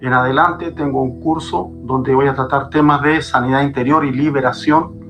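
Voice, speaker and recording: A man's voice: mid-range voice, steady tone, moderate pace, music in the background. A man, a pastor, speaking fervently as a guest on a podcast and repeating phrases for emphasis. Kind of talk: preaching guest in a podcast conversation. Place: Chile.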